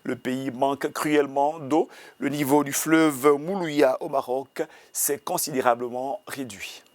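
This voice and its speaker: A man presenting the news from a studio in a brisk, scripted delivery. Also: mid-range voice, fairly even intonation, dry recording. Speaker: news anchor.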